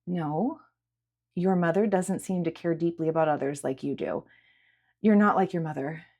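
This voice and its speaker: A woman voicing a caring, patient woman who reassures with gentle insistence in a dramatic audiobook. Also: lowish voice, normal speed. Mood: reassuring. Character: caring, patient woman comforting an upset girl. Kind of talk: dramatic audiobook character acting.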